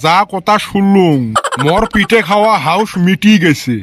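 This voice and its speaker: A man's voice: high-pitched, moderate pace, fairly bright tone, dry recording. A man voicing a thrifty, grumbling village father in a comic cartoon, dryly sarcastic and weary of demands. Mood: dry, resigned. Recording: clearly noisy track with clicks.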